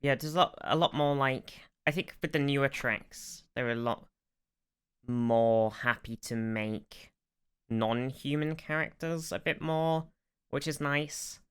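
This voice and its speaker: A man co-hosting a podcast in casual fan discussion, enthusiastic and explanatory, quick to interject. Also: medium pitch, a bit bright, clear, resonant tone. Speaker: podcast co-host.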